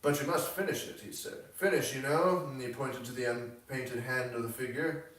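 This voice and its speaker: A man voicing a good-natured, plain-spoken American gentleman abroad in a novel, emphatic and blunt. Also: mid-range voice, normal speed, very echoey, distant mic, lightly acted, rough recording. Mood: hesitant, blunt.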